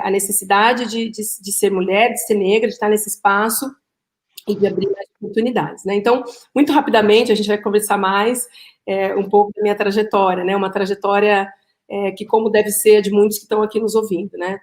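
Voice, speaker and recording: Woman, middle-aged, mid pitch, earnest, reflective, personal testimony. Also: level tone, moderate pace. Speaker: executive, panelist. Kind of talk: personal testimony at a live online event.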